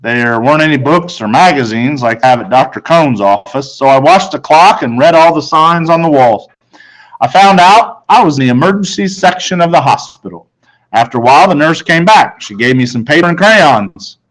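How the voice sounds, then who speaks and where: A man's voice: fairly high pitch, lively intonation, normal speed, fairly bright tone, rough recording. A man narrating a children's novel aloud in the first person, as a storybook narrator.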